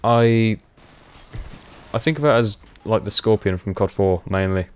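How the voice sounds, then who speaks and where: A young man giving casual, rambling commentary over gameplay, with many fillers and frequent laughs, his voice getting hoarse. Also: lowish voice, unhurried. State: voice going hoarse. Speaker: gaming commentator.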